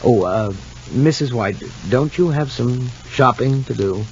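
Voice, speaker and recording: Dull old radio child psychologist. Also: male voice, mid-range voice, expressive, measured pace. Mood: kindly, coaxing. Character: calm, probing male child psychologist, kindly with children. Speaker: actor in a radio drama. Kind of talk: 1950s radio soap acting. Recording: dull, faintly noisy old radio recording.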